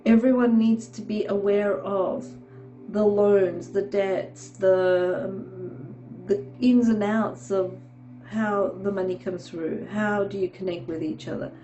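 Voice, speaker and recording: A woman talks through a tarot spread in a slow, warm, hoarse voice. Her throat is sore, and she stops often, leaning on "okay" and "um" as she goes. Soft music plays faintly behind her. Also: mid-range voice, steady tone, dull audio. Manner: halting, many fillers and "okay"s. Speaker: tarot reader. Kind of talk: tarot reading monologue.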